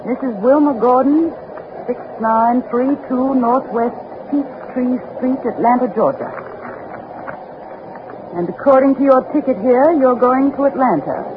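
An actress playing a cool, ruthless spy in an old-time radio drama, speaking in a clipped, commanding, politely menacing way. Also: female voice, sits high, measured pace. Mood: cool, official. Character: cool, ruthless female spy posing as a government agent. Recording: tinny, noisy old radio broadcast.

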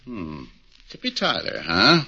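An actor playing a wisecracking, hard-boiled private eye in a 1940s radio crime drama. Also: male voice, on the low side, huge pitch swings, slow, pushed and bright, husky, full character voice. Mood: tough. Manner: wisecracking, tough. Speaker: star and host of the show. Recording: muffled old radio broadcast with music.

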